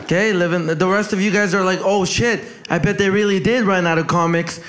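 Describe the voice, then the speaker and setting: A man's voice: sits high, fairly even intonation, fairly quick. A young man from El Salvador doing a brash, crowd-working stand-up set on a club stage, holding a handheld mic. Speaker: stand-up comic. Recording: echoey club with crowd noise.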